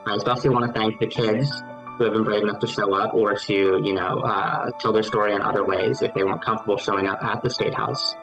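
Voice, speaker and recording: A speaker from Ohio giving earnest, halting interview testimony as an advocate. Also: mid-range voice, steady tone, low-quality recording. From Ohio, United States. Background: background music.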